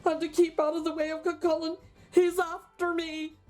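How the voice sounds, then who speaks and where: A woman's voice: very high-pitched, flat delivery, moderate pace, a little mellow, faint music behind, voice pushed up. A woman voicing a fearful, honest giant husband in a storybook folktale, sweet-talking and fretful. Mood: worried. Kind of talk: storybook character voice.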